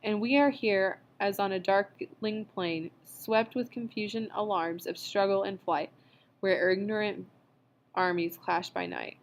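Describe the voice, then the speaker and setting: Lady doing a guy reading a poem out, slow and heavy. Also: mid-range voice, a little hiss or hum, close to an everyday voice. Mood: solemn. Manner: slow, solemn recitation. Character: shaken fireman reciting a poem, adult man.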